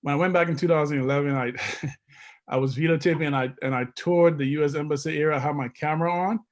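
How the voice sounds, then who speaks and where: A man's voice: fairly high pitch, fairly even intonation, quick pace, highs cut off. A middle-aged man speaking as an interview guest, informal, often restarting and repeating his phrases. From Liberia. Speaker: guest, investment consultant. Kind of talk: casual interview chat.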